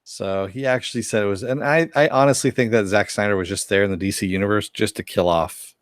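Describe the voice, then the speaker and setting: A man with a lowish voice co-hosts a casual podcast, bringing up news and slipping in quick puns. His sound is close and dry. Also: clean tone, no echo. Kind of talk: casual chat.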